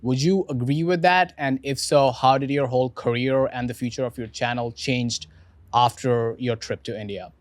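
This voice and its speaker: A man's voice: mid-range voice, normal speed, no echo. Probing male podcast host, dry close sound. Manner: probing, stammering restarts. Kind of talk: interviewer questions.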